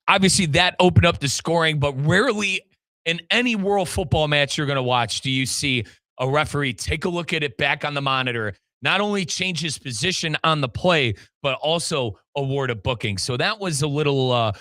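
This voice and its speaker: American male sports host, high register, forceful bright tone, emphatic, colloquial match recap. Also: no room sound. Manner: emphatic, colloquial, addresses listeners and co-host directly. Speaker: sports show host and radio play-by-play commentator.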